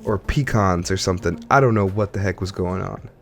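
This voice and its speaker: A man's voice: low voice, a little fast, gravelly, faint music behind. A man hosting a casual gaming podcast, chatting while congested from allergies. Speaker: podcast host.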